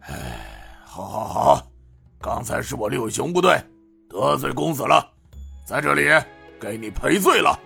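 A man voicing a cold, wary male bandit leader in a martial-arts audio story, talking low and sly. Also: big pitch swings, unhurried, vocal fry, bright, forceful tone, breathy edge, faint music behind, full character voice.

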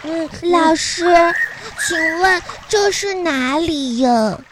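A woman's voice: extremely high pitch, lively intonation, background noise, full character voice. A woman playing an earnest, eager little girl pupil in a radio comedy sketch, with a childlike, recited way of talking. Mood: pleading. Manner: childlike, slow recited reading. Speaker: comedy show performer.

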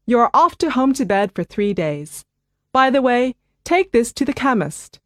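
A woman voicing a calm, reassuring family doctor in a scripted listening dialogue. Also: on the high side, no echo, studio quality. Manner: clear, measured, reassuring delivery.

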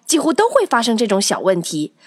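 High, animated woman storyteller. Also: a little fast, dry recording, studio quality. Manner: animated, acts out the tale for children. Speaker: children's storyteller. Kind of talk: expressive scripted story narration.

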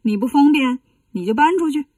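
A woman's voice: high-pitched, expressive, a little fast, warm tone, no room sound, clean studio sound, recorded from a distance. A woman voicing a brash, bossy monkey king with a blunt, cocky manner in a storybook tale. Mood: cocky, commanding.

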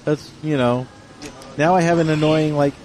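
A man's voice: a little high, steady tone, darkish tone, slight background noise, rough recording. Bantering man co-hosting a podcast. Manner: bantering, quick to argue and concede. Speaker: podcast co-host. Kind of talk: casual chat.

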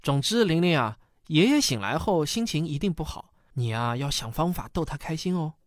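A man playing a gentle, supportive father in a family radio drama. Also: fairly high pitch, sing-song, normal speed.

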